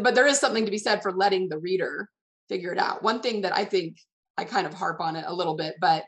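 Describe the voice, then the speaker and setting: A woman interview host chatting casually and discursively, working her points round into questions. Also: fairly low pitch.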